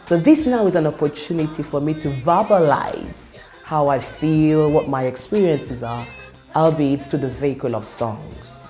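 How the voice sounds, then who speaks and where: A woman whose first language is Yoruba speaks in a low, warm voice. She tells her story in an earnest, reflective way, pausing and restarting as she goes. Soft music plays faintly behind her. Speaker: gospel singer. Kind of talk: personal testimony monologue.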